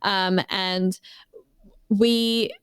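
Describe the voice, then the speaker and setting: A woman's voice: medium pitch, steady tone, extremely slow, smooth, dry recording. A woman hosting a podcast, reading a scripted intro and then chatting with enthusiasm and some hesitant fillers. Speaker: podcast host.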